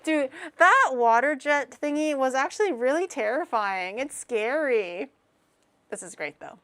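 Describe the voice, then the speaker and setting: A woman's voice: high-pitched, expressive, unhurried, loud music behind the voice. A woman in her twenties streaming, chatting casually while reacting to clips, rambling, self-mocking and laughing often. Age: 20s.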